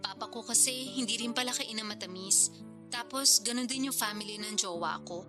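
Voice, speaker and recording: A woman's voice: a little high, fairly quick, lightly acted. An actress playing a modest, chatty single mother in her thirties in a radio drama. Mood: playful, friendly. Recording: clear music bed behind dialogue.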